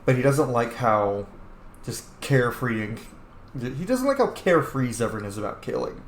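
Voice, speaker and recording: A man's voice: medium pitch, very animated, normal speed. A man hosting a roleplay let's play, giving informal, reflective game commentary with some swearing. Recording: roomy echo, faint background noise.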